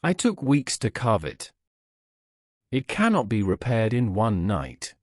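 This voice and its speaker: A man's voice: lowish voice, huge pitch swings, slow, dry recording, studio quality, heavily acted. A man voicing a resigned master sculptor and father in a bedtime story, matter-of-fact.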